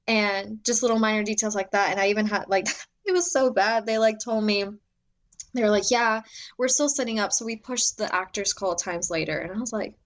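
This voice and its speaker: A young adult woman with a loud voice, talking as a guest filmmaker in a casual podcast interview, telling stories with lots of fillers and laughs. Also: mid-range voice. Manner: animated storytelling, many filler likes, laughs often. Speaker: guest, independent director and first AD.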